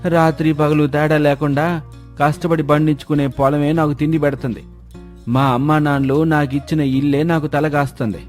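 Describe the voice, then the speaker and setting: A man's voice: on the high side, a little mellow, lightly acted. A man playing a humble, hardworking farmer in a moral story, protesting in a plaintive, insistent rustic manner. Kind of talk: acted moral storytelling dialogue.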